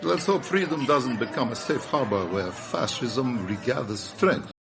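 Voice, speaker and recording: A suave Soviet official at a formal party in a radio play. The man's creaky, medium-pitched voice is polite yet pointed, with music playing behind. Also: animated, a little slow. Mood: smooth, pointed. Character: suave, veiledly menacing Soviet deputy minister at a party. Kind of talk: radio drama acting.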